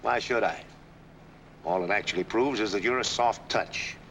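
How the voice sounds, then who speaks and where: A man's voice: moderate pace, a bit bright. An actor playing a cynical, worldly older man with a sardonic, needling manner in a 1940s Hollywood film. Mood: sardonic, skeptical. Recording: old film soundtrack, vehicle-like rumble.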